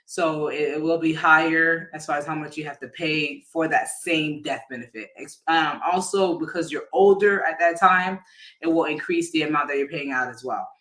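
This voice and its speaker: Bright, halting insurance agent man. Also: a little high. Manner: hesitant, many fillers and restarts; addresses viewers informally. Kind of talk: casual livestream explainer.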